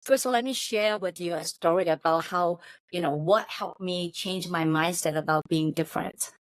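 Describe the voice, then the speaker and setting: Low-voiced woman with a Hong Kong Chinese accent. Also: moderate pace, no echo, rough recording. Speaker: interview guest, technology company chief of staff. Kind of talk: interview answers, personal storytelling.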